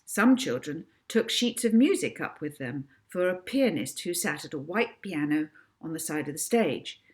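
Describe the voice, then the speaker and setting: A woman reading a children's book aloud as an expressive narrator. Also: sits low, moderate pace. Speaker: author reading own book.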